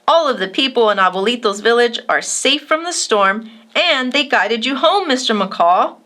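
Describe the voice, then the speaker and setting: An eager young boy from a children's storybook, as a woman reader plays him. He speaks high and animated, earnest and quick to exclaim. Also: noisy background, natural voice. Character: earnest, excitable young boy, devoted to his kite.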